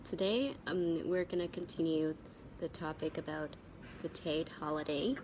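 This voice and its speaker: A Vietnamese-accented woman with a cold and sore throat gives a spoken English vocabulary lesson as a teacher, haltingly and repeating key words. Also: low-pitched, background noise.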